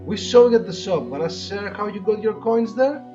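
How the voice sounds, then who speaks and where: A bullying male street thug from a visual novel as a man acts him out. He is pushy and taunting, with very changeable pitch. Faint music sits behind the dull recording. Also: animated, moderate pace. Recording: muffled lo-fi audio with music.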